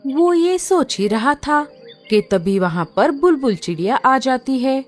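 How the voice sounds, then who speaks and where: A woman narrates a children's story slowly, with a lively, rising-and-falling voice. Her voice sits slightly high. Soft music runs beneath her. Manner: slow, expressive storytelling.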